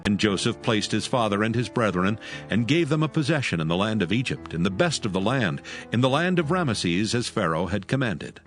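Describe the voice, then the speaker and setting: A man's voice: on the low side, big pitch swings, fairly quick. A man narrating a dramatized scripture story from a script. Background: faint music.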